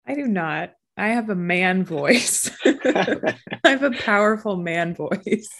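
A woman co-hosting a casual podcast chat, playful and teasing, quick to laugh. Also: mid-range voice, animated, fairly bright tone. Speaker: podcast co-host.

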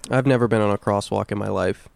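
A man's voice: on the low side, fairly even intonation, moderate pace, vocal fry, no echo. A man co-hosting a podcast, chatting casually about video games and swearing freely. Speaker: podcast co-host.

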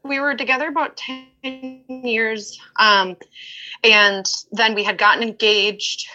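Bright-voiced woman sharing her story. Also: a little high, fairly even intonation, slow, dull audio, soft background music. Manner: open, emphatic storyteller who acts out quotes. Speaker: guest. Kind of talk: candid personal conversation.

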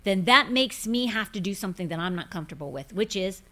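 A woman's voice: medium pitch, lively intonation, fast, bright, forceful tone. A woman in her fifties, a pastor hosting a casual faith podcast, talking in a forthright, emphatic, preachy way. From grew up in Pentecostal churches. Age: 50s.

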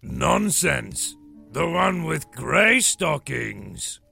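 A man voicing a quarrelsome, rough troll with a harsh, gravelly rustic voice for an audiobook. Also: fairly high pitch, sing-song, very slow, pushed and bright, soft background music, exaggerated voice. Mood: argumentative. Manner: bickering, ungrammatical rustic speech. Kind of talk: audiobook character voice.

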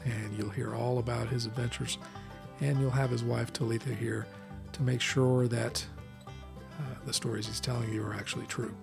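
Gravelly man hosting a podcast. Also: mid-range voice, moderate pace, music in the background. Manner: scripted intro read, then relaxed questions with fillers. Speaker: podcast host.

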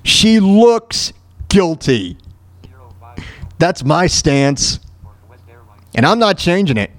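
A man hosting a solo radio show, giving informal, opinionated commentary on the news in a halting, repetitive way. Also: high-pitched, sing-song, no echo. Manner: informal, halting, repeats words and phrases for emphasis.

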